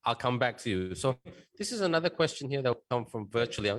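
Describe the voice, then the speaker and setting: A man moderating a forum panel, brisk and joking as he hands out questions. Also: medium pitch, very animated, fairly bright tone, no echo.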